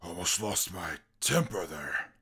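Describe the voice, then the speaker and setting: A man playing an educated, short-tempered super mutant in an audio drama, with formal speech and a gravelly, husky voice. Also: deep voice, big pitch swings, heavily acted. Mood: restrained, haughty. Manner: formal, deliberate speech flaring into shouted outbursts, then apologizing. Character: educated super mutant brute, proud, short-tempered, self-styled genius. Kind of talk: post-apocalyptic audio drama acting.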